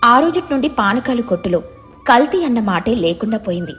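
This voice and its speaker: Female narrator for moral stories, higher-pitched voice, faint music bed. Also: big pitch swings, a little fast, a bit bright. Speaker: storyteller. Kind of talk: scripted moral story narration.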